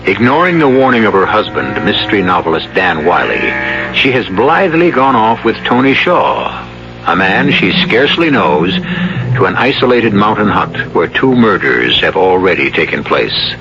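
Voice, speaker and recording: Low guy narrating a 70s radio mystery, wry and ominous, music behind, muffled old recording. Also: sing-song, moderate pace, pushed and bright. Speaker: host and narrator.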